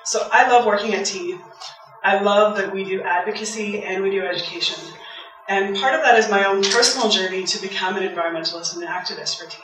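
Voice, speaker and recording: Woman, soft voice, chatty public talk, distant, very echoey hall, music behind. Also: medium pitch, quick pace. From Canada. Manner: chatty, eager, laughs often. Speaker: waste campaigner giving a public talk. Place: event hall.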